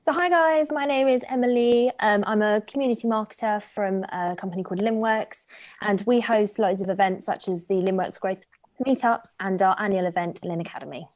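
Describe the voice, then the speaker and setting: Brief: woman, mid pitch, upbeat call intro. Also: brisk, darkish tone. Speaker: community marketer. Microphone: video call.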